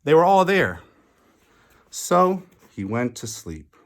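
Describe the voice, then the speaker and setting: A man reading a children's picture book aloud in an expressive, deliberate way with dramatic pauses. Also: a little high, slight background noise. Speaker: storybook reader.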